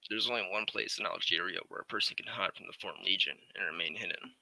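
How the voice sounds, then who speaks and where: A man playing a sharp, gravelly military colonel. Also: low-pitched, fast, dry recording, exaggerated voice, low-quality recording. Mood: grave, warning. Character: grave, helpful male French Foreign Legion colonel, stern and cautionary. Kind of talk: 1940s radio drama acting.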